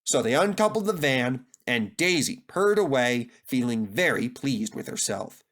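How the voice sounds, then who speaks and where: A man narrating a scripted children's story as an expressive storyteller. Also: mid-range voice, a little slow.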